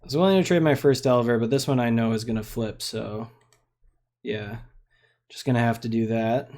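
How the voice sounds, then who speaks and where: A man's voice: mid-range voice, steady tone, normal speed, a little mellow. A young man giving casual, rambling commentary on a card game he is streaming. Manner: laid-back, rambling, lots of fillers.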